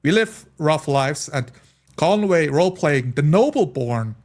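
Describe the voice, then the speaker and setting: A man's voice: a little high, animated, unhurried. A man talking casually and at length as a tabletop player, rambling emphatically and quoting imagined speakers. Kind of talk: casual podcast-style discussion.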